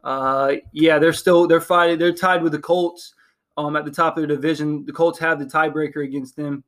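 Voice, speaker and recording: A young man talks football picks in a halting, filler-heavy way. His voice sits a bit high but stays flat, with little rise and fall. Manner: hesitant, many ums and restarts. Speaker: podcast co-host. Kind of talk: casual sports chat.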